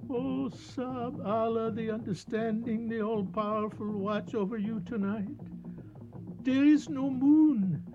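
Anxious devout servant begging his master, broken english, slow, muffled old radio w loud music. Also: very high-pitched, heavily acted. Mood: fearful pleading. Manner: pleading, deferential, broken English. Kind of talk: radio drama acting.

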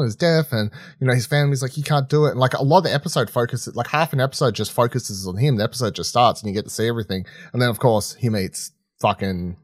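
A man co-hosting a podcast, chatting casually and swearing freely, with a halting, rambling way of talking that often restarts mid-sentence. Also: mid-range voice, no room sound, clean studio sound. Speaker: podcast co-host reviewing film and TV. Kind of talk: casual unscripted chat.

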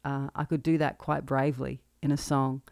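Candid Australian woman, gravelly edge. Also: a bass voice, flat delivery, normal speed, dry recording, clean studio sound. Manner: candid, reflective, with soft laughs. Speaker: podcast host and singer-songwriter. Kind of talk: personal monologue.

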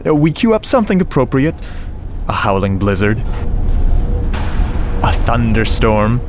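A man playing a shy, earnest, nerdy sound-effects man, telling his story conversationally and haltingly in a radio drama, with a wistful manner. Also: mid-range voice, big pitch swings, noisy background. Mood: proudly explaining. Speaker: narrator and lead character. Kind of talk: first-person radio drama monologue. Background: music and sound effects.

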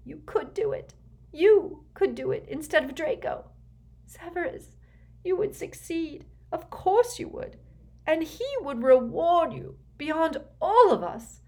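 Storybook role: desperate noblewoman and mother , acted by a woman reader, high, tearful, sobbing, very animated delivery. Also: measured pace, hardly any pauses. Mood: anguished, urgent. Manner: sobbing, beseeching. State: crying.

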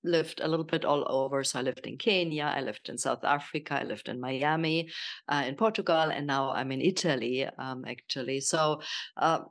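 A woman's voice: rumbling low, moderate pace. A middle-aged woman from Germany with a German accent, a friendly guest talking with many ums. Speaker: guest, nutrition coach. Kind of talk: interview conversation.

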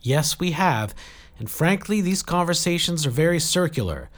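A man acts out a weary but resolute woman in an adventure audiobook. She speaks bluntly and urgently in short sentences, with a lifted, animated pitch. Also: a little slow. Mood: urgent, frustrated. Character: weary, frank, determined woman leading a desperate group.

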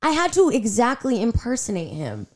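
A woman in her twenties with a raspy voice, animated and defensive as she chats on a podcast. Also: fairly high pitch, normal speed. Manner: animated, defensive, laughs often. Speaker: podcast co-host. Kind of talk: casual chat.

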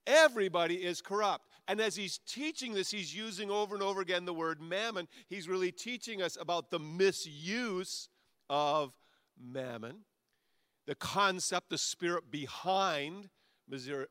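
A man's voice: sits high, sharp tone, dry recording, studio quality. A male pastor preaching a sermon in a conversational way that builds to emphatic peaks.

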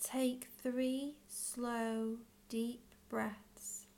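A woman leading a guided visualisation in scripted narration, with measured, gentle phrasing and long pauses. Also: a little high, flat delivery. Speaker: guided meditation leader.

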